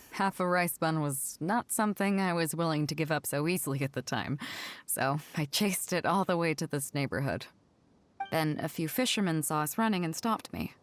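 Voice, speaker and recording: An actress voicing a proud, tough adult pirate ship captain in a game cutscene, telling her past in a wistful, wry, confident way. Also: deep voice. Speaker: game character. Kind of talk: dubbed video game cutscene dialogue.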